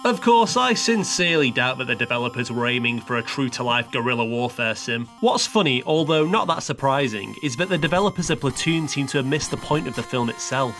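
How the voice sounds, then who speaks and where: A man's voice: mid-range voice, expressive, brisk, hardly any pauses, fairly bright tone. A man reading a scripted comedic review in a sarcastic, sweary, mock-dramatic way. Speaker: narrator of a video game countdown review. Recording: music playing behind the voice. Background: background music.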